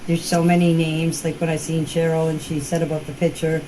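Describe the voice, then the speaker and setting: A woman's voice: low voice, little pitch movement, brisk. An older woman informally recounting events in a hesitant, repetitive way, as if giving her own account in voice messages. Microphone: phone voice messages. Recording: noisy amateur voice message recording.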